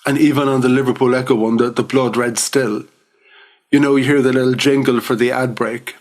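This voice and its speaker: A man's voice: mid-range voice, fairly even intonation, fairly quick. A man hosting a podcast interview, chatty and enthusiastic, often laughing between points. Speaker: podcast host. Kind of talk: casual interview chat.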